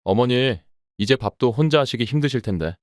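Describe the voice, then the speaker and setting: A man's voice: medium pitch, lively intonation, fairly quick, no room sound, studio quality, lightly acted. A man voicing a coaxing, calculating grown son. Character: calculating adult son, dutiful on the surface. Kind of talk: audio drama dialogue.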